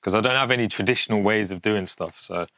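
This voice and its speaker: A young man in his twenties with a fairly low voice. He talks off the cuff, candid and matter-of-fact, like a designer chatting to a documentary camera. The sound is close and dry. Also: fast talker, fairly bright tone, dry recording. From England, born in Yorkshire with family from Pakistan. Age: 20s. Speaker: fashion designer, documentary subject. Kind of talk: casual, unscripted talk to camera.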